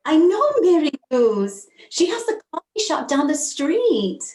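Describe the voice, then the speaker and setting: An actress playing a sympathetic, gentle neighborhood woman in a screenplay table read. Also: high voice, sing-song, a little slow. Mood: friendly. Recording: echoey room.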